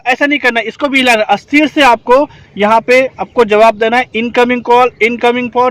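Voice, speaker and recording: High-voiced man teaching a class outdoors. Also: a bit bright, dry recording, low-quality recording. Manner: explains step by step, asks the class to confirm. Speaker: rescue trainer. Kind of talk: instructional demonstration.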